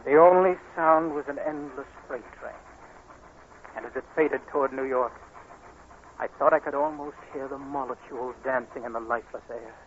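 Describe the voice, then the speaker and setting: A man's voice: medium pitch, moderate pace, natural voice. An actor playing an anguished, restless blind man in a dramatic 1940s radio style, with brooding delivery. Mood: brooding, tense. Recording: muffled, noisy 1940s radio recording.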